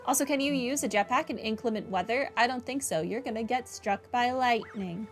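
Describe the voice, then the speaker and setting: A woman comments casually on a game she is playing. Her voice is on the high side and she muses aloud with a wry edge. Soft game music plays behind her. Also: brisk. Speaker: game streamer.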